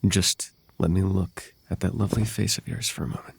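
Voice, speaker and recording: A man voicing a possessive fae royal in an ASMR roleplay, courtly and coaxing with soft menace under a silky, creaky voice. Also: low-pitched, steady tone, a little fast, dry recording, exaggerated voice. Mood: tender, coaxing. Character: possessive, silky male fae royal wooing a captive lover. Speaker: voice actor.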